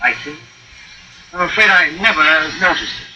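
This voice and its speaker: Detached gentleman, very noisy old radio. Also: high voice, animated, moderate pace. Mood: polite, detached. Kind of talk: old radio drama acting. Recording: very noisy playback through vintage radio.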